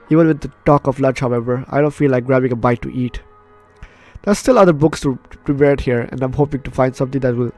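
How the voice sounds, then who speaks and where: A male gaming host with an average-pitched voice reads visual novel narration aloud. He is informal and goofy, breaking into asides and stumbling over phrases, while game music plays faintly behind him. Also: darkish tone.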